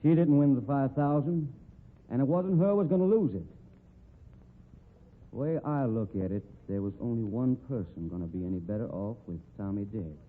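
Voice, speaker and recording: Actor as plainspoken western sleuth, tinny old radio. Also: male voice, mid-range voice, expressive, lightly acted. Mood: reasoning, firm. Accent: American. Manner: folksy, deliberate reasoning with rustic grammar. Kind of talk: old-time radio drama acting. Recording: tinny, narrow-band old radio recording.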